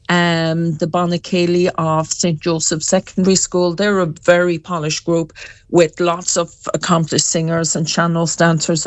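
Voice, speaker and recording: A woman's voice: lowish voice, dry recording. A woman with an Irish accent, a drama group founder, chatting enthusiastically in a radio interview with some fillers.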